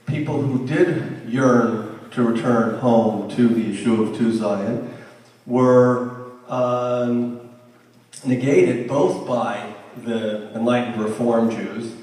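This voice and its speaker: A middle-aged man giving a conversational history lecture to a class, with restarts and asides. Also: medium pitch. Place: lecture room. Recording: roomy hall sound, mic at a distance.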